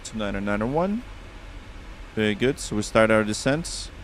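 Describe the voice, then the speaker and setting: A man's voice: fairly low pitch, lively intonation, moderate pace, slight background noise, low-quality recording. A man with a Russian accent streaming a flight sim, chatting casually with viewers and reading back radio calls on a headset.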